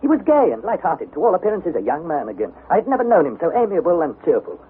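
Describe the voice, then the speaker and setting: An actor playing an earnest, anxious young nephew who narrates and acts in a 1960s radio drama. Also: male voice, a little high, wildly swooping pitch, quick pace. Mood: narrating suspensefully. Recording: tinny, noisy vintage radio recording.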